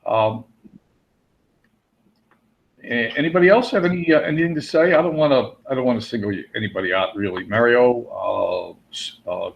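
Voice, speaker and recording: A man's voice: medium pitch, moderate pace, creaky. A man with a Pennsylvania Dutch accent hosting a live webinar, chatting with viewers and calling on them by name. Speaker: webinar host. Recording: dull lo-fi audio.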